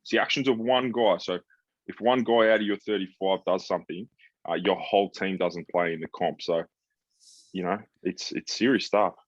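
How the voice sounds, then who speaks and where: A man's voice: sits low, steady tone, normal speed, no room sound. A man hosting a sports podcast in casual conversation, talking in a blunt, laid-back, matey way. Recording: slightly dull, lo-fi sound.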